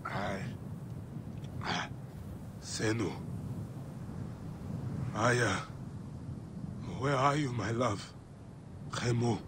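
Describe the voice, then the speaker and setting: An actor playing a grieving, brooding ancient Egyptian warrior in a video game, speaking in a solemn, weary, measured way. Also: male voice, medium pitch, background noise. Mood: anguished, pleading. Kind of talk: dramatic video game acting.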